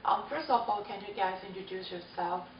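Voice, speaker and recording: A woman moderates a focus group, asking short polite questions to keep the talk going. The sound is dull and lo-fi, with a steady hiss under it. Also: mid-range voice, fairly quick. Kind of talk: moderating a discussion. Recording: echoey room, far mic, dull lo-fi, noisy.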